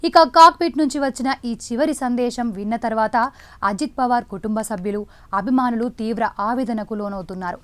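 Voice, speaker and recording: A woman's voice: fairly high pitch, brisk. A woman news presenter, delivering scripted news narration in a dramatic, emphatic way.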